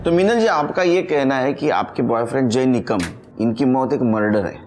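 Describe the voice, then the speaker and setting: An actor playing a methodical police officer in a TV crime serial, formal, measured and probing. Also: male voice, mid-range voice, close to an everyday voice. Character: composed, methodical police officer questioning a complainant. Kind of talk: Hindi TV crime serial acting. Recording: loud background music.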